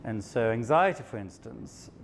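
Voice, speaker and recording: A South African man speaking to a room of listeners in a loose, chatty way, poking fun at himself as he goes. His voice is at a middle pitch, and faint noise hums behind him. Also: expressive, moderate pace, vocal fry. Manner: chatty, self-deprecating, with frequent laughs. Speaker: psychiatrist giving a talk. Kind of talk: informal live talk to an audience. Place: event room with an audience.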